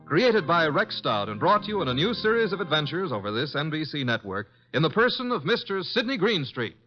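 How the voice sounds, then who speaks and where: Male vintage radio announcer: highish, emphatic, grand showman delivery over prominent music. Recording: muffled vintage radio broadcast with loud music.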